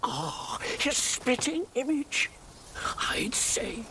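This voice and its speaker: An actor playing a quirky little old mountain guardian spirit in a fantasy game, theatrical and excitable. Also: male voice, very high-pitched, very slow, whispered, heavily acted. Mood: theatrical, marvelling. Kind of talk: fantasy game character acting.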